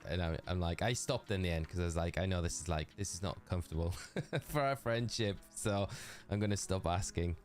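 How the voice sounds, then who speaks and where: Deep-voiced Geordie man chatting on stream. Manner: rambling, chatty, addressing chat. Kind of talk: casual live-stream chat.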